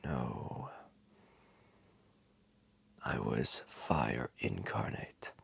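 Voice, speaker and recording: A man voicing an ancient, wise and weary dragon, telling a story intimately and grandly in a deep, breathy, gravelly voice with dramatic pauses. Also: sharp tone, no echo, heavily acted. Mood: proud, remembering. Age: old. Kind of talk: slow intimate storytelling audio roleplay.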